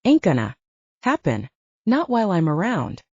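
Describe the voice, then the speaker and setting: Audiobook role: defiant young werewolf girlfriend read by a woman, pointed and scoffing, lively pitch, slightly muffled sound. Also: normal speed, breathy edge, dry recording, full character voice.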